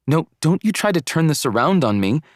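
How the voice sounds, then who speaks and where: A man's voice: fairly low pitch, normal speed, no echo, clean studio sound, close to an everyday voice. A man voicing a hurt, accusing teenage girlfriend in an audiobook.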